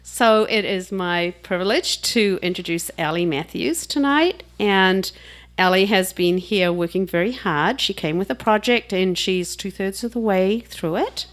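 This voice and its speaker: A woman with a New Zealand accent introduces a reader at a small live event. She talks casually and jokes with the crowd, laughing between remarks, in a voice of ordinary middle pitch. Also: measured pace.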